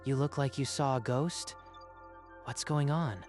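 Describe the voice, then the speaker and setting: A man's voice: medium pitch, steady tone, unhurried, a little mellow, lightly acted. A skeptical, practical friend acted by a man in a manga dub, with a fairly light male voice. He talks in a conversational, questioning way, with music underneath. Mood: curious, teasing. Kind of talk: scripted manga dub acting.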